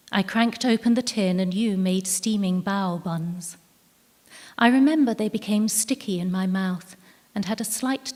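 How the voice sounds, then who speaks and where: A woman's voice: medium pitch, a little mellow. Woman reading a story in an echoey hall. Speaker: reader of a short story. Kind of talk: literary story reading, first-person narration. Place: live event venue, indoors. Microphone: stage microphone. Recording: echoey hall at a live event.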